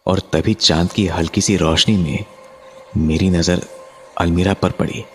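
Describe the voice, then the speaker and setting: A man telling a horror story in the first person, suspensefully, with drawn-out dramatic pauses. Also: low voice, fairly even intonation, a little hiss or hum. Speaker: narrator of a horror story, voicing its main character. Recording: music behind the voice. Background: music.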